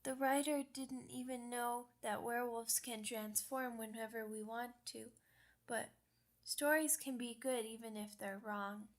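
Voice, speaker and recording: A woman's voice: on the high side, fairly even intonation, measured pace, lightly acted. A woman voicing a sad, earnest young female werewolf pup in an ASMR roleplay, with hesitant, childlike phrasing. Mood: wistful storytelling.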